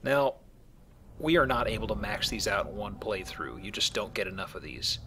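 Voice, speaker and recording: A man's voice: lowish voice, very animated, moderate pace, sharp tone, slight background noise. A man hosting a let's play with casual, explanatory game commentary and joking asides.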